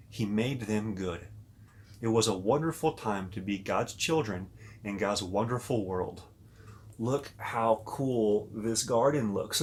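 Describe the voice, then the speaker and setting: Expressive man reading a children's story. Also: mid-range voice, slight background noise. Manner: expressive, talks directly to children. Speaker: pastor and story reader. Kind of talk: read-aloud children's story with asides to young viewers.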